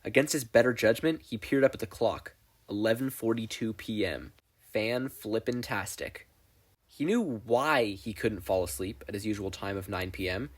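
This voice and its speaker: A man reading fan fiction aloud as expressive scripted narration, with a playful, wry storytelling manner. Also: on the low side, normal speed. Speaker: podfic reader.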